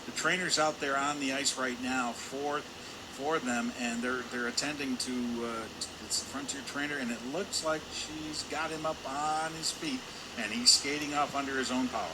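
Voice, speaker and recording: A man's voice: medium pitch, fairly even intonation, moderate pace. A man giving conversational color commentary at a live hockey game, wordy and repeating himself for emphasis. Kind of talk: live sports analysis, conversational. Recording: constant loud arena background noise. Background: hockey arena ambience.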